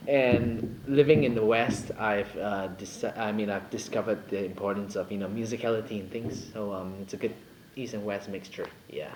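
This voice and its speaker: A man's voice: fairly low pitch, fairly even intonation, moderate pace, darkish tone, a little hiss or hum. A young man from Singapore, a singer chatting casually in an interview, playful and jokey, rambling with many fillers. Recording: roomy echo, faint ambience.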